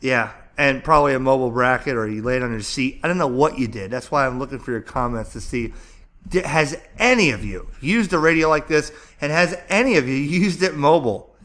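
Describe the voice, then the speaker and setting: A man talking casually and excitedly as an amateur radio vlogger, chatty and rambling as he thinks aloud. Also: medium pitch, fairly quick. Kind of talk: casual enthusiastic vlog commentary, browsing and narrating.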